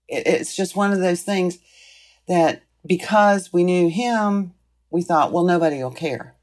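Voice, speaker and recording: An older woman being interviewed, reflecting on the past in a deliberate way with drawn-out phrases. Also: lowish voice, a little mellow. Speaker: interviewee, former school board member.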